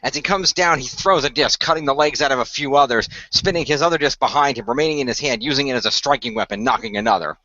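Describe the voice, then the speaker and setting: A man narrating an action radio play in a halting, improvised way, sometimes correcting himself. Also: mid-range voice, bright, forceful tone, dry recording, muffled recording. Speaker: radio play creator and performer.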